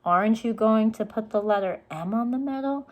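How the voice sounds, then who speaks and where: A woman voicing an eager, bold seven-year-old little sister in a storybook, excitable and teasing. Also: a little high, normal speed, voice pushed up, lightly acted. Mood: curious, insistent.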